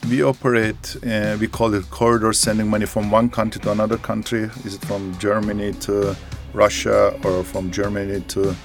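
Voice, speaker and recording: Male executive interviewee, deep and gravelly, earnest and halting, soft music bed. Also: level tone. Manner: earnest, halting non-native phrasing with fillers. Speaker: company executive, interview guest. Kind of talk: interview answers.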